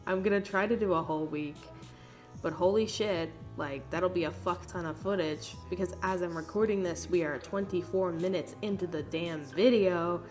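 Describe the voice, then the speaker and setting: Congested low-voiced young woman rambling. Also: moderate pace, darkish tone, faint music behind. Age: young adult. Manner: rambling, chatty, lots of fillers and swearing. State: congested, nasal. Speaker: art vlogger. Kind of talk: casual voice-over commentary. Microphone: phone.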